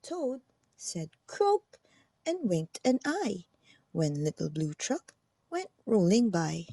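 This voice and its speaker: A woman narrating a children's picture book aloud with expressive, deliberate phrasing. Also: on the low side, dry recording. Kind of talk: animated storybook read-aloud.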